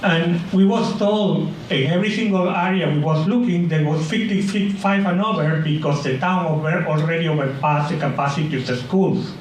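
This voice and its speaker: A man's voice: on the high side, slight background noise. Earnest man speaking in an echoey hall. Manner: earnest, halting, non-native grammar. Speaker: resident giving public comment. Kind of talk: public comment at a town meeting. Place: town meeting room. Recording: echoey hall, picked up from a distance.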